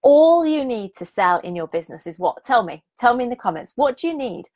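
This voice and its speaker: A woman in her thirties, a bit croaky, hosting a live-streamed business coaching challenge, enthusiastic and repetitive, asking viewers to comment and laughing often. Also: on the high side, sing-song, brisk, darkish tone.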